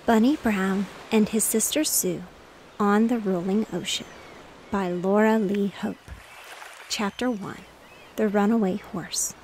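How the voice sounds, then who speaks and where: A woman narrating a bedtime audiobook in a soft, whispered, soothing ASMR style. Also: medium pitch, a little hiss or hum. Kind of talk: ASMR bedtime story reading.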